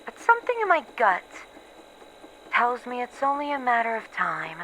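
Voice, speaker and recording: A woman playing a despairing, bitter researcher in a horror roleplay log, halting and wry as she faces her doom. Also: fairly high pitch, very animated, bright, forceful tone, low-quality recording. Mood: bitter, anxious. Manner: halting, wry, self-mocking, sighing. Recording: faint background noise.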